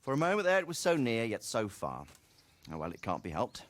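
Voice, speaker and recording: An actor playing an easygoing middle-aged nobleman in a video game, a fatherly mentor who talks in an unhurried, wry, reflective way. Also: medium pitch, wildly swooping pitch. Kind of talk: cinematic video game dialogue.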